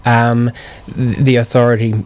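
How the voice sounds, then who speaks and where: A man, a guest on a radio show, explaining services in a measured, hesitant way. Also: medium pitch, little pitch movement, a little mellow, clear, resonant tone. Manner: measured, hesitant, repeats words. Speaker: guest from a trust organisation.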